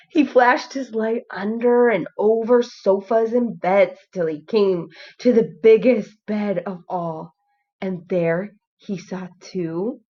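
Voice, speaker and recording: A woman reading a children's storybook aloud like a teacher, expressive and engaging with young listeners. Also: mid-range voice. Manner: expressive, pauses to ask listeners questions. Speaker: kindergarten teacher. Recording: slightly dull lo-fi sound.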